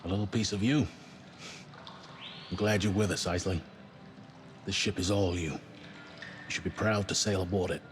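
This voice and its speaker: Low-voiced seasoned spaceship commander played by a man. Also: steady tone, lightly acted. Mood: warm praise. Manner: measured, formal, encouraging. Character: seasoned male spaceship commander, measured, proud of his crew. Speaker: mission commander. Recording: long hall reverb, faint music behind.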